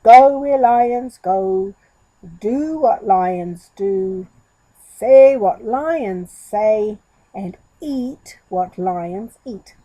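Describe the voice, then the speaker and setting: A woman's voice: medium pitch, extremely slow, warm tone, muffled recording. A woman voicing an earnest, boastful male goat in a children's story, declaiming in emphatic phrases. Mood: solemn, determined.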